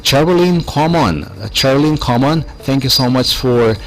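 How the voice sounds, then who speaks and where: A man's voice: medium pitch, expressive, measured pace, poor audio. A man hosting a live radio interview, putting questions to a guest in a conversational way and often repeating himself.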